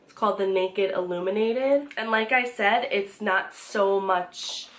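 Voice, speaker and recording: A young woman, slightly hoarse from a cold, chatting casually to camera as she reviews her monthly beauty favorites, with frequent ums and restarts. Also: mid-range voice, a little slow. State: hoarse from being sick. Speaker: beauty vlogger.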